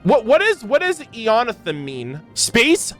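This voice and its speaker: A man doing excited reaction commentary as a game streamer, reading aloud and commenting in an animated, exclamatory way. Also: very high voice, measured pace, faint music behind. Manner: animated, exclamatory, swears freely. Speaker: streamer reacting to a video game's lore.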